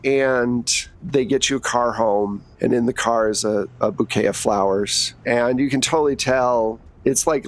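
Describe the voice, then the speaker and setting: A middle-aged man improvising narration as the game master of a tabletop roleplaying game. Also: mid-range voice.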